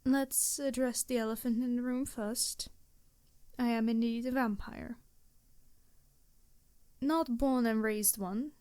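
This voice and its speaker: Woman playing an ancient female vampire, smooth clear voice, slightly high, formal, earnest, flustered, intimate ASMR roleplay. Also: steady tone, slow pace, long pauses, warm tone. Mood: earnest, composed. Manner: formal, hesitant, earnest speech. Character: embarrassed, apologetic centuries-old female vampire soulmate. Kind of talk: ASMR roleplay monologue to the listener.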